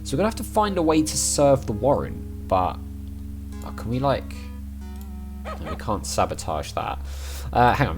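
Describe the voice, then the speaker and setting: A man with a croaky, worn voice, chatting casually as he plays through an adventure game and thinks aloud. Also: on the low side. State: croaky voice, recovering from overuse. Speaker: let's play gamer.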